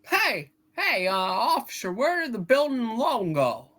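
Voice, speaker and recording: A woman voicing a frantic, bewildered, exasperated family man, husky, in a hammed-up amateur play reading. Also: a little high, sing-song, unhurried, fairly bright tone, exaggerated voice. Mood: pleading.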